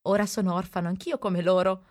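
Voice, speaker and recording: A woman's voice: mid-range voice, steady tone, brisk, a little mellow, natural voice. A woman playing a cunning, self-justifying male castaway in an absurdist stage comedy, formally protesting and pleading with melodrama. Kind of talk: theatrical absurdist comedy acting.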